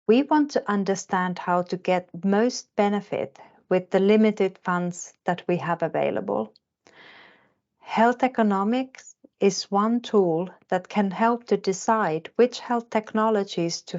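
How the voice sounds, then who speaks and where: Slow, measured woman from Finland. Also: medium pitch, darkish tone. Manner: measured, carefully paced delivery. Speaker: health economist. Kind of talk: scripted lecture narration. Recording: slightly dull lo-fi recording.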